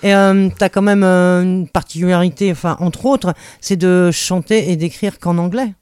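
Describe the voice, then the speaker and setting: Male interviewer, fairly high voice, smooth and clear, dry close mic, chatty. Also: steady tone, normal speed, a little mellow, dry recording. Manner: chatty, leading questions, rephrases guest's answers. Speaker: radio interviewer. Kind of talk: casual interview.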